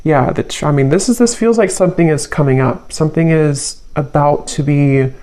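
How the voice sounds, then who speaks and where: Someone doing a casual tarot reading, mid-pitched voice, kinda halting, thinks out loud as they go. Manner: halting, thinking aloud, repeats phrases. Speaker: tarot reader.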